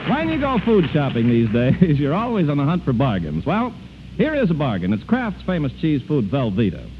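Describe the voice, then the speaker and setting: An American man announcing a commercial in the style of 1940s radio advertising, delivering a scripted sales pitch. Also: huge pitch swings, normal speed. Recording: tinny, noisy 1940s radio broadcast.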